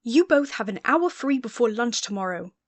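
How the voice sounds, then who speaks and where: A woman's voice: a little high, lively intonation, normal speed, no room sound. A woman voicing a stern, commanding female professor who scolds her students, in an audiobook.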